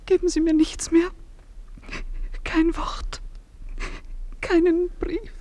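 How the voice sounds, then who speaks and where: An actress playing a troubled woman resolved to leave, speaking in a hushed, halting, breathy way. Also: extremely high pitch, little pitch movement. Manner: hushed, halting, often near a whisper. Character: troubled young woman determined to leave her lover. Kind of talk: radio play acting.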